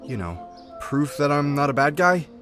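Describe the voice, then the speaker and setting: A man's voice: on the high side, very animated, moderate pace, fairly bright tone, faint music behind. A man voicing an easygoing young male rat drifter who talks in a laid-back, friendly, chatty way in a fan comic dub. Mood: persuasive.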